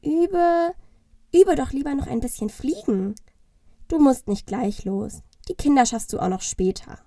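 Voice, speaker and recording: A woman's voice: high-pitched, measured pace, creaky, mellow, no echo, studio quality. A woman voicing a nervous, scheming little Christmas elf who coaxes and stammers, in a family audio play. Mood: nervous, coaxing. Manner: stammering, coaxing.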